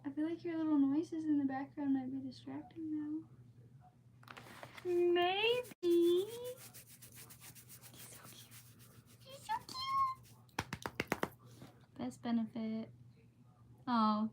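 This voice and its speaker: Higher-pitched woman, chatty and informal. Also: big pitch swings, slow pace, pauses often, slight background noise. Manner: chatty, informal, easily sidetracked. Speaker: livestream host reading aloud. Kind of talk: casual livestream chat and storybook read-aloud.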